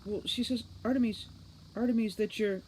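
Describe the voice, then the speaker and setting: Woman voicing a breathless, raised-pitch young servant girl. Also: steady tone, a little mellow, close to an everyday voice, rough recording. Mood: breathless, urgent. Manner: breathless, halting, urgent. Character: excitable, flustered, deferential young servant girl. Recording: faint background noise.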